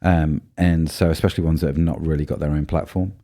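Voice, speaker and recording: Man, very deep baritone, dark mellow tone, roughened by a cold, casual podcast co-host, rambly with ums. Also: fairly even intonation, fast. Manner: chatty, thinks aloud with many ums and restarts. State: voice low and rough from a cold spell.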